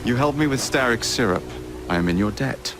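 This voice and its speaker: An actor playing a blunt, cheeky young Victorian rogue in a video game, wry and informal, with an English accent. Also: male voice, mid-range voice, lively intonation, a little fast, over music, lightly acted. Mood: frank. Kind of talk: video game cutscene acting.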